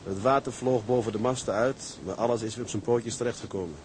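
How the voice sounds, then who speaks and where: Radio drama captain, played by a man: resolute, burdened seaman, mid-pitched voice, slight noise on the recording. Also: steady tone, quick pace, a little mellow, close to an everyday voice. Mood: tender, relieved. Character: resolute, guilt-burdened sea captain of a tugboat crew. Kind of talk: radio drama acting with letter-reading monologues.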